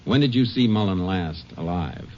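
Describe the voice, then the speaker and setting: A calm, probing Texas Ranger with a very deep American voice. He is laconic, in radio crime drama style, on a muffled and slightly noisy vintage recording. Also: moderate pace, gravelly, heavily acted. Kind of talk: old-time radio crime drama acting.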